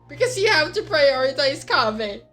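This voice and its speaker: A woman's voice: sits high, sing-song, moderate pace, a bit bright, faint music behind. A woman with a Filipino accent giving casual commentary as she streams a game. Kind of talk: casual live game commentary, switching between Tagalog and English.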